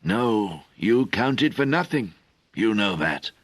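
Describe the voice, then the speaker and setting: Audiobook: male reader as an old hermit sage, gentle and steady. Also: on the low side, slow pace, no echo, pitched above the performer's own voice, full character voice. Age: older.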